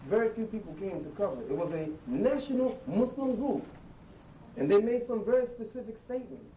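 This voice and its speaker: Earnest speaker, mellow and roomy. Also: medium pitch, lively intonation, fairly quick. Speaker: panel speaker at a press conference. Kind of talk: impassioned public appeal. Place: press conference room. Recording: roomy, distant mic, faint noise.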